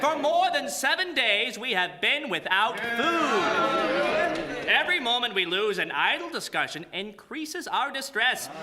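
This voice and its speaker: A man playing an impatient, insistent, hungry gentleman delegate, declaiming in a theatrical radio drama. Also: very high-pitched, full character voice. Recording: live theater with audience noise.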